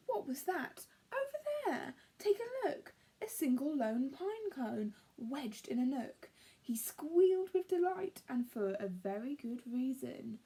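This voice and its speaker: A woman reading a rhyming picture book aloud to young children, with dramatic expression and hushed word endings. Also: sits high, husky. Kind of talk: expressive rhyming story narration.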